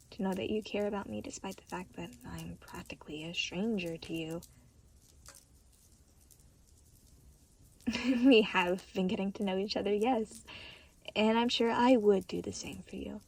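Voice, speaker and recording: A gentle deity of limbo, played by a woman in an audio roleplay, with a breathy, husky voice. She is soft-spoken and a little awkward, choosing words with polite hesitation as she looks after the listener in a caring, motherly way. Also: medium pitch, moderate pace, rough recording. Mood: touched, bashful.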